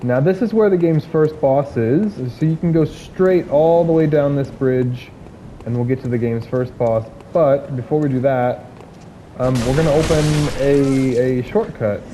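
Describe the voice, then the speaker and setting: A man giving casual gameplay commentary, hoarse from illness, rambling with many fillers and restarts. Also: mid-range voice, a little slow, warm tone, a little hiss or hum.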